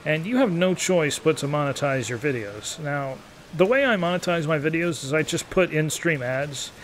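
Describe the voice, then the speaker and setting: A man in his thirties with a slightly higher than average voice talks casually over gameplay. He rambles in an easygoing, take-it-or-leave-it way. The home recording carries faint background noise. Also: lively intonation, normal speed, rough recording. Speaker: gaming YouTuber.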